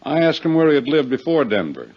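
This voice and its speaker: Uneasy, earnest gentleman witness, voice swinging up and down, defensive, muffled vintage radio drama recording. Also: fairly high pitch, big pitch swings, quick pace. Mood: earnest, unsettled. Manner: earnest, formal, touchy. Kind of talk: 1950s radio drama acting.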